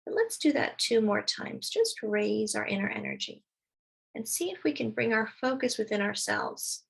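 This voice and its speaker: A woman leading a guided meditation, speaking slowly and soothingly as she gives gentle instructions. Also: fairly high pitch, expressive, vocal fry, slightly rough.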